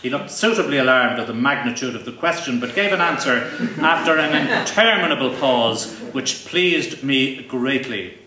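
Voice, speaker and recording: A man giving a live comic reading from his novel in a pompous, deadpan, mock-grandiose manner. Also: mid-range voice, normal speed, hardly any pauses, crowd noise. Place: live event venue. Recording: roomy, distant live recording with faint noise.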